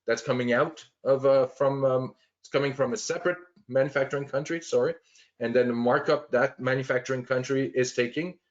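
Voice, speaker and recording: A man walking through planning software on a shared screen, explaining step by step with frequent fillers and restarts. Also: medium pitch, dull audio. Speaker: software trainer.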